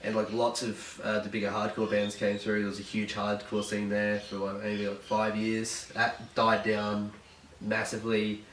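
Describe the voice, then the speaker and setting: A man's voice: lowish voice, monotone, normal speed, a bit bright. Nasal man, rambling casually. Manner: rambling, joking, lots of fillers. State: stuffy from hay fever. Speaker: band bassist. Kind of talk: casual interview chat. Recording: echoey room, distant mic, faint noise.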